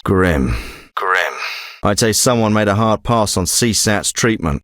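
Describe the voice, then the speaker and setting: An actor playing a businesslike special forces commander in a military video game, speaking in a clipped, authoritative, terse way. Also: male voice, on the low side, bright, forceful tone, dry recording. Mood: terse, focused. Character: gruff, businesslike male special forces commander briefing an operative.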